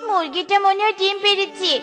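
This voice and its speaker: A woman's voice: extremely high pitch, steady tone, brisk. A woman voicing a young female fox bride in a children's cartoon, fond and coaxing toward her husband. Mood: coaxing, prodding.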